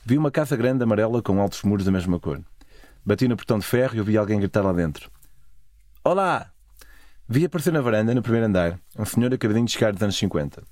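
A man's voice: fairly low pitch, lively intonation, racing, warm tone, no echo, clean studio sound. A man with a European Portuguese accent narrating a scripted, literary first-person travel story. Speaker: narrator of own travel stories.

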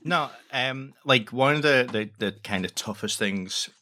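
A man's voice: medium pitch, a little slow, bright, forceful tone. A man talking casually as a guest in an interview about creative work. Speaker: podcast guest, audio fiction creator.

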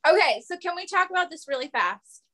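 A woman's voice: very high-pitched, lively intonation, a little fast, sharp tone. A woman in casual podcast chat, stating her opinions with emphasis. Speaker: podcast panelist.

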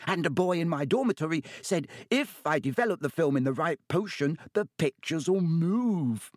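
Man voicing a breathless young schoolboy, high and stammering, gushing fan, dry close sound. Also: expressive, moderate pace, dry recording, lightly acted. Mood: eager. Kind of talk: audiobook character voice.

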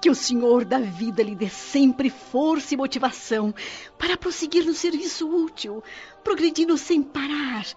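A woman's voice: high-pitched, moderate pace, a little mellow, lightly acted. A woman with a Brazilian accent playing a loving, devout spirit mother in a radio drama, tender and solemn as she exhorts her son. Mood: tender, proud. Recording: slightly dull radio drama with music. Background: music.

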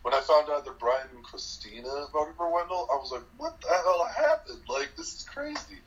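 A young man casually recounting his game in an interview, talking loudly and excitedly with lots of fillers and laughs. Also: on the high side, normal speed, lo-fi sound. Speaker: eliminated contestant guest.

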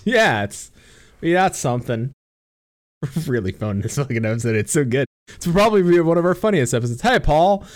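A man's voice: on the high side, big pitch swings, normal speed, no room sound, clean studio sound. A young man co-hosting a casual gaming podcast over a voice call. He giggles a lot, swears freely and uses many fillers. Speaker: podcast co-host. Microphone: computer mic on voice call.

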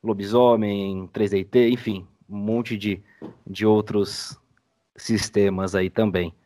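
A man's voice: fairly low pitch, steady tone, slow, mellow. A man with a São Paulo Brazilian accent, chatting casually as a guest on a podcast. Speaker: podcast guest, audio editor. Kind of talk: casual chat.

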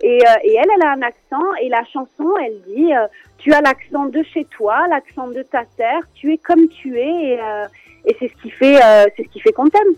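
A French woman with a French accent, a children's singer chatting as a radio guest, lively and quick to laugh. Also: sits high, dry recording, muffled recording, soft background music. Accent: French with Alsatian touch. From France. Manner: animated, laughs often, many fillers. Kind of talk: casual radio interview chat.

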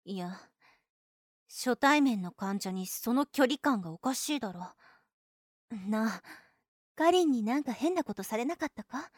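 A woman's voice: fairly high pitch, lively intonation, a little slow, long pauses, no room sound, studio quality. An actress playing a young female nurse in an anime-style voice drama, blunt and boyish in phrasing, protective and possessively clingy. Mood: suspicious, stern. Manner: blunt boyish phrasing, doting toward the patient. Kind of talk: Japanese anime-style situation voice drama acting.

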